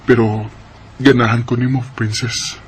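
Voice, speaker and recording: A man's voice: medium pitch, flat delivery, measured pace, lightly acted. A man playing an affectionate, earnest young suitor in a Filipino radio drama, with a tender, coaxing manner. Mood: courting, hopeful. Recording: faint background noise and ambience.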